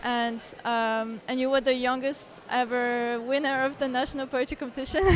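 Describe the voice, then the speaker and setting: A woman from France speaks English, her voice slightly high. She asks her questions casually and a little hesitantly, often relating them to her own experience. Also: steady tone, normal speed, a little hiss or hum. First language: French. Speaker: interviewer, podcast host.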